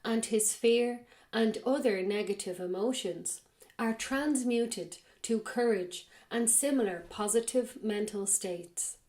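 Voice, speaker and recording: A woman hosting a podcast, reading a spiritual text aloud in a slow, measured way and then commenting on it, her voice a little raspy from a cold. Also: fairly high pitch, darkish tone. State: has a cold. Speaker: podcast host.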